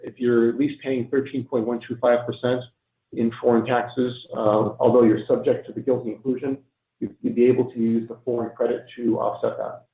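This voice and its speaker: Hoarse guy explaining stuff on a webinar, lots of uhs, sounds like a phone call in an echoey room. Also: mid-range voice, level tone. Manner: halting, many ums and uhs and restarts. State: losing his voice. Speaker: tax presenter on a webinar panel. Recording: echoey, tinny phone-line audio.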